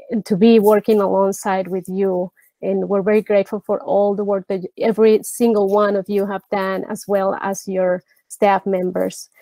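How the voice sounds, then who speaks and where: A woman's voice: medium pitch, mellow, no room sound, poor audio. Earnest woman chairing a video meeting. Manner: earnest, halting, restarts and repeated words. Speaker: school board chair. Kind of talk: public meeting remarks over video call. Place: home room with brick wall. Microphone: earbud headset on video call.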